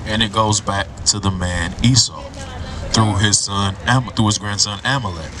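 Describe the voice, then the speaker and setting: A man's voice: fairly low pitch, steady tone, moderate pace, crowd noise. A man preaching on the street to a passerby, lecturing and asking "right?" over and over. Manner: lecturing, asks "right?" again and again. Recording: street noise, mic at a distance.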